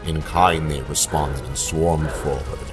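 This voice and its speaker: A man's voice: extremely low pitch, steady tone, unhurried. A man narrating a scripted audio drama in a dramatic, suspenseful storytelling manner. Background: music.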